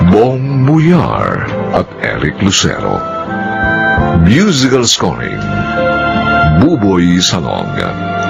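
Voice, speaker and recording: Deep, slow Filipino radio drama narrator. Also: male voice, gravelly, dull audio. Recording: music under the voice.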